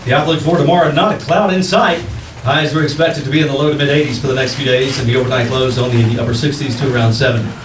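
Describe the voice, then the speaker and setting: A man's voice: medium pitch, steady tone, fast talker, natural voice. A man playing a genial 1940s-style radio announcer, reading a scripted programme. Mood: brisk. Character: smooth genial old-time radio announcer. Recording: echoey distant stage pickup, noisy.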